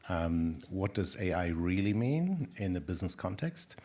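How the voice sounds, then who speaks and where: A man's voice: deep voice, big pitch swings, moderate pace, a little mellow. A middle-aged man, a corporate executive, introducing himself on a panel. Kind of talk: spoken self-introduction.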